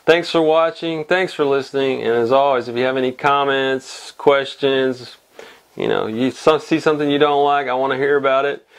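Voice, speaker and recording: A man's voice: medium pitch, steady tone, normal speed, clean tone. A man in his forties hosting his own fly fishing show, talking casually to camera from his fly tying room with lots of uh fillers and restarts, a little under the weather. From Texas. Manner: rambling, many uh fillers and restarts. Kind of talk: casual weekly update to camera.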